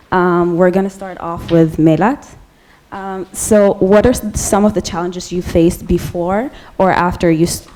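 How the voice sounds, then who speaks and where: Low, mellow-voiced woman moderator. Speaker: panel moderator. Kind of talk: moderating a panel. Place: conference hall.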